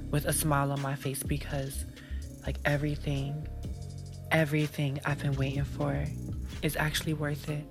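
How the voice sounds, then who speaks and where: A bold young woman in an audio drama, her low voice unhurried and breathy, dropping swear words as she lingers over every detail. Music sits clearly under her talk. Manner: breathy, unfiltered, swearing, drawn-out.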